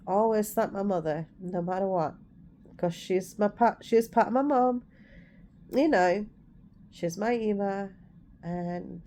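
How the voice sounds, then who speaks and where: A woman's voice: lowish voice, dark tone, a little hiss or hum. A woman in her forties with an English accent touched by Scouse, telling personal stories casually to her viewers and correcting herself as she goes. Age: 40s. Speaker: vlogger. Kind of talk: casual storytelling to the camera.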